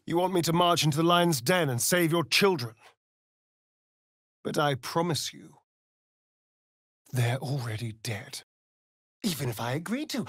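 A man's voice: a little high, a bit bright, dry recording, clean studio sound, exaggerated voice. A man playing a sly, vain, sardonic vampire rogue in a fantasy video game, with an arch, theatrical drawl. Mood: dry, dramatic.

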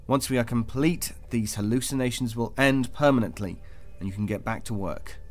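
An actor playing a calm, clinical and controlling interrogator in an audio drama, speaking in a measured, patronising way. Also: male voice, mid-range voice, soft background music, close to an everyday voice. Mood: probing.

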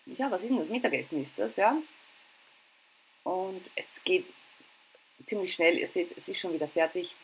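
A woman's voice: mid-range voice, low-quality recording. A middle-aged woman with an Austrian accent narrating her household routine in a chatty, informal, self-deprecating way. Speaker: home and cooking vlogger. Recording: clear background noise.

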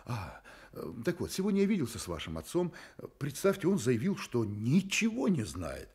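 An actor playing a polite, insistent private detective in a radio play, pleading courteously in halting phrases. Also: male voice, mid-range voice, wildly swooping pitch, darkish tone, breathy edge, exaggerated voice. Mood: halting, persuasive. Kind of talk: Soviet-style radio play acting.

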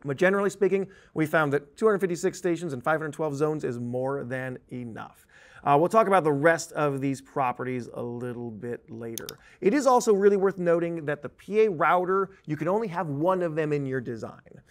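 A man's voice: on the high side, lively intonation, fairly quick. A man in his thirties giving an informal, conversational video tutorial as a training instructor, explaining things on a lapel mic in a studio. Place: indoor presentation studio at a desk.

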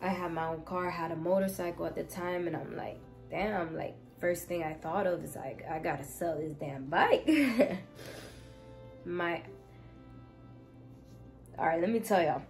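A woman in her twenties from Miami, telling a casual storytime from her bedroom, animated, swinging from loud exclaiming to hushed asides. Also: on the low side, unhurried, long pauses, faint music behind. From Miami, United States. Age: 20s. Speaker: vlogger. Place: bedroom, indoors. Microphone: camera in front, seated.

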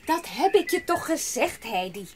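A brusque, impatient aunt in a children's audio drama. Her voice is high and swings a lot, curt and sighing, with birdsong and outdoor noise clearly behind her. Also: sing-song, normal speed. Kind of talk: children's radio play acting.

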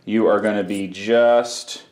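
A man's voice: lowish voice, fairly even intonation, takes it slowly, darkish tone, reverberant. A man explaining a bike repair step by step in a casual, practical way, in his workshop. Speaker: bike mechanic. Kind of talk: hands-on repair tutorial.

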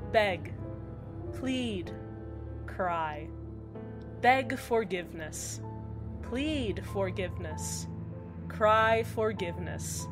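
A woman playing an eerie small-town community radio host in a scripted fiction podcast, deadpan and measured, with deliberate pauses. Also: medium pitch. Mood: solemn, ominous. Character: eerily cheerful female small-town community radio host, uneasily upbeat. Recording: music under the voice. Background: background music.

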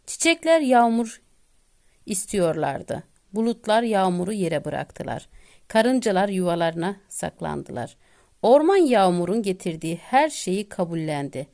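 A woman narrating a children's bedtime tale as a storyteller, delivering it deliberately in short phrases with pauses. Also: medium pitch, very animated, darkish tone.

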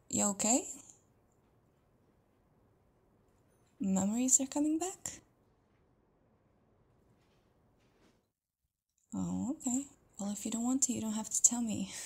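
A woman acts out a tender, protective partner in an intimate audio roleplay. Her voice is husky and breathy, with plenty of creak. She speaks softly and conversationally, hesitating and sometimes slipping toward a whisper. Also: fairly high pitch. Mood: gentle, amused. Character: caring, tender live-in partner of an amnesiac lover.

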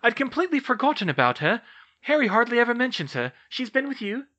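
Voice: medium pitch, sing-song, quick pace, pushed and bright, muffled recording, pitched above the performer's own voice. A narrator voicing an earnest, anxious teenage witch girl with an emphatic manner, in a fantasy audiobook. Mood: excited.

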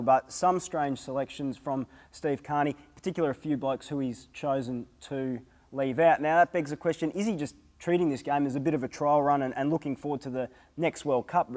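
A man's voice: medium pitch, darkish tone, in a large hall. A young Australian man hosting a casual sports show in a studio, with an upbeat, jokey manner. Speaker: show host. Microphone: lapel.

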